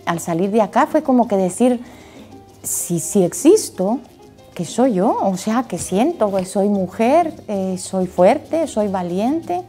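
Guatemalan woman, emphatic heartfelt testimony, soft music underneath. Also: medium pitch, animated, slow. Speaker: interviewee. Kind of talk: emotional testimony.

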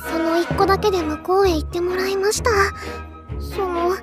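Modest anime teenage girl, high gentle voice, polite, slightly hesitant, music behind. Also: steady tone, normal speed. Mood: apologetic explaining. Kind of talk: anime audio drama acting.

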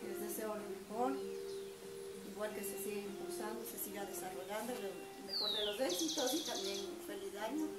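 A young adult woman, politely conducting a student interview. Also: mid-range voice. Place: covered brick patio at a winery. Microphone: camera a few metres away. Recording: echoey, distant camera mic, loud ambient noise.

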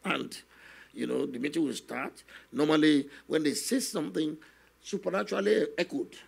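An older man, a guest minister telling his testimony slowly and earnestly in a studio interview, often adding "you know". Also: fairly high pitch. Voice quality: gravelly, husky. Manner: slow, earnest storytelling with many "you know" asides. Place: television studio, seated on a set. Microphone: stand microphone in front of him.

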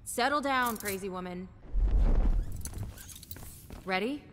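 A woman's voice: mid-range voice, slow pace, music in the background, natural voice. An actress voicing a confident, wisecracking young woman soldier in a fighting game, with a sassy delivery. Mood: cocky. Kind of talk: video game intro banter.

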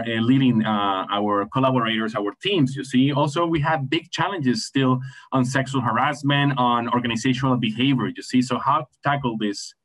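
A man's voice: medium pitch, a bit bright, low-quality recording. A man introducing panel moderators on an online conference call, rambling with many fillers and repeated phrases. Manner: rambling, many fillers like "I mean, like" and "you see". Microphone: video call webcam.

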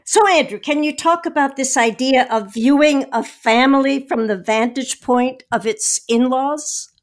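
Woman, interview host, fairly high pitch, conversational radio style. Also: unhurried.

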